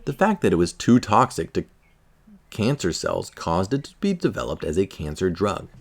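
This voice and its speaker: Low-voiced man reading with lively pitch. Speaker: article reader. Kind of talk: reading a scientific article aloud.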